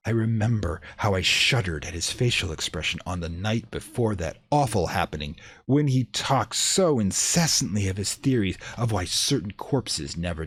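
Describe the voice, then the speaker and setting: A man's voice: on the low side, slightly rough. A man playing a shaken, defensive witness giving formal, old-fashioned testimony in a dramatic radio play monologue.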